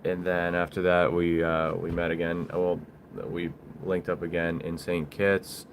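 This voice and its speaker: Male livestream host, low register, unscripted and halting delivery, loose conversational phrasing, faint noise behind the voice. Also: flat delivery. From Bulgaria. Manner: halting, rambling, informal. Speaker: livestream host, small business owner. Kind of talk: casual livestream chat answering viewers.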